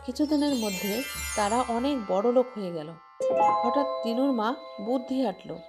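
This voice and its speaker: A woman narrating a homemade cartoon fairy tale in a plain, even storytelling manner. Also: a little high, moderate pace. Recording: music playing behind.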